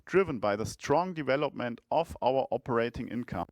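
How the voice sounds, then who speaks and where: A man speaking as a chief financial officer, delivering a scripted earnings presentation in a measured, formal manner. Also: medium pitch, animated, dry recording.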